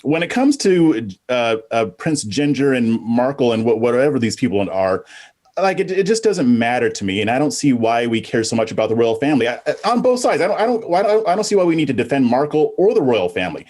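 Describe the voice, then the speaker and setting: A man's voice: mid-range voice, big pitch swings, fairly quick, a little mellow. A man giving casual opinions as a panelist, dismissive and joking, often stumbling into restarts.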